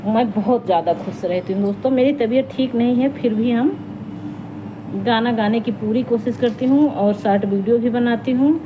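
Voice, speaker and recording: Fast-chatting middle-aged woman. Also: on the high side, background noise. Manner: rapid, chatty, warmly addressing fans. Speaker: YouTube folk singer. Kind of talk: casual talk to viewers.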